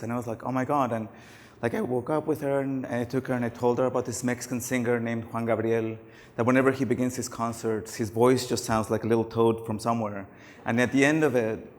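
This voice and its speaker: Man giving a talk, hall reverb. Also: mid-range voice. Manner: casual, anecdotal, many fillers and likes. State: losing his voice. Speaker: conference speaker. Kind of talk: conference talk on stage. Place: large conference hall.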